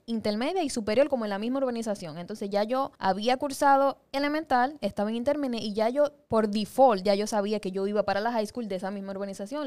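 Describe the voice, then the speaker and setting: A young woman with a Dominican Spanish accent blended with Puerto Rican speaks in a smooth, clear voice. She tells her story earnestly and with emphasis, as in a relaxed conversation. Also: fairly high pitch. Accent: Dominican Spanish mixed with Puerto Rican. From Dominican Republic. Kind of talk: casual conversation.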